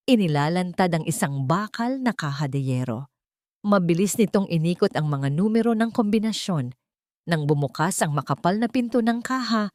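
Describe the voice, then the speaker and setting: A woman's voice: on the low side, darkish tone, no room sound, clean studio sound. A woman reading a scripted dramatic story as its narrator.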